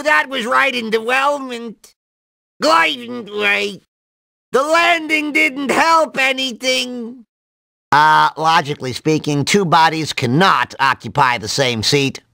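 A man voicing a nerdy, excitable, absent-minded cartoon mad scientist in a video game, nasal and full of rambling jargon and odd exclamations. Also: very high-pitched, takes it slowly, vocal fry, no echo, studio quality, heavily acted. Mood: alarmed, frantic, then musing, chatty.

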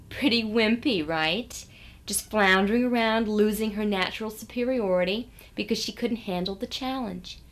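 A woman plays a condescending self-help seminar leader for radio satire. She has a medium-pitched voice and briskly coaxes and patronizes her listeners. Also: lightly acted. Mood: smug instruction. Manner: patronizing, coaxing the audience.

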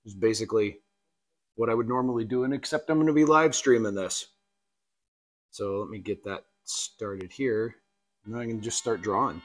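A man's voice: on the low side, normal speed. Muttering middle-aged man streaming. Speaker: live-streaming cartoonist. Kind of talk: casual chat, offhand commentary. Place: small room at home, indoors. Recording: old radio show playing behind. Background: old radio drama and music.

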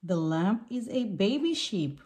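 A woman reading a picture book aloud for small children in simple, deliberate sentences. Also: medium pitch, big pitch swings, noisy background.

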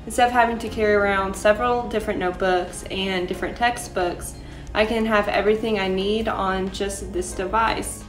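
A woman's voice: mid-range voice, steady tone, moderate pace. A young woman from Kentucky, a senior student, giving a sincere, grateful testimonial. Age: young adult. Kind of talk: scripted testimonial. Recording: roomy echo with faint music.

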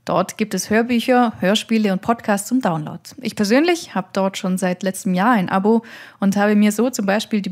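A woman hosting a podcast, introducing her guest and asking direct questions in a lively way. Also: mid-range voice. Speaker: podcast host.

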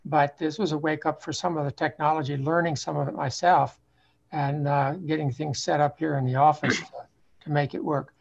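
Older man with gravelly, creaky voice. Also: fairly high pitch, little pitch movement, moderate pace. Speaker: amateur actor new to the stage. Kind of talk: casual reflective talk. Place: home office, indoors. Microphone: computer on a video call. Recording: dull lo-fi video call audio.

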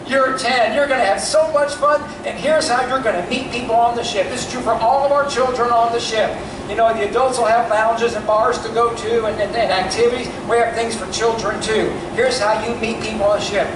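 A middle-aged man from Kentucky with a Kentucky accent, doing a stand-up comedy routine with a deadpan, punchy delivery and drawn-out pauses. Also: extremely high pitch. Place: large theater stage. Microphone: handheld mic, filmed from far off. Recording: echoey theater from far off, loud crowd noise.

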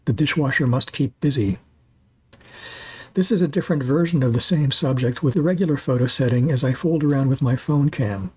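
A man's voice: mid-range voice, fairly quick, a little mellow, breathy edge. A man in his sixties reading a scripted voice-over for a food and walking tour, with dry, wry humor. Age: 60s. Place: voice-over, not recorded on location.

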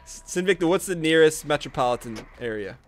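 A man's voice: a little high, lively intonation, brisk, soft background music. A man bantering casually and playfully with friends while playing a video game. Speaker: gamer in a group play session. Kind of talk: casual banter over gameplay. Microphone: online voice chat mic.

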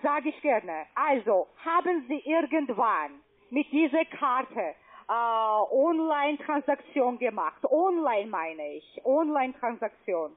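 A high-pitched woman talks slowly and haltingly, her grammar broken as she reads numbers back one by one. The sound is thin and boxy, the way a phone line sounds. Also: big pitch swings. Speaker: phone scam caller posing as bank agent. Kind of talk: recorded phone call.

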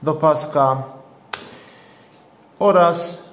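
A man with a slightly high voice presents a product as an unboxing host. He speaks very slowly in short, halting, matter-of-fact phrases. The sound is roomy, with clear echo from an indoor space. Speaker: product reviewer, channel host. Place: indoors, tabletop product shoot.

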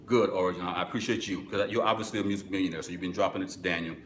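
Middle-aged male host with a deep voice, blunt and instructive, live-streaming from an echoey office on a camera mic. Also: steady tone, very fast, fairly bright tone, slight background noise. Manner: direct, instructive, answers viewer comments. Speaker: music business webinar host. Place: small office with corkboard, indoors.

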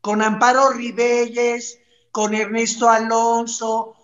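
A woman's voice: fairly high pitch, little pitch movement, slow pace. A middle-aged woman with a Mexican accent chatting casually as a panelist on an entertainment show. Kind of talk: casual chat. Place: indoor room, video call. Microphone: video call mic. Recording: echoey room, dull lo-fi call audio.

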